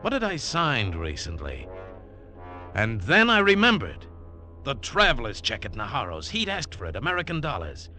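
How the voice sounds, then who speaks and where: A man's voice: medium pitch, wildly swooping pitch, moderate pace, bright, forceful tone, full character voice. A man playing a tough, wary American adventurer who narrates his treasure hunt in clipped, hardboiled 1940s radio style. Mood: intense, brooding. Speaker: lead actor and narrator. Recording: old 1940s radio broadcast, music behind.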